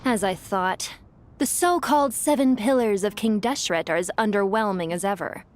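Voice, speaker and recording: A woman voicing a haughty, wise, ancient desert being in a video game, with formal, measured, imperious speech. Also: medium pitch, soft background music, close to an everyday voice. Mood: disdainful.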